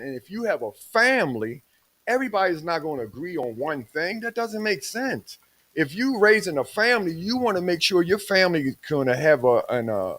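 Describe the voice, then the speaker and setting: Animated preachy man in his sixties. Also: on the high side. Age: 60s. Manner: preachy, emphatic, drawn-out phrases. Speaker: podcast co-host. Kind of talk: casual podcast chat.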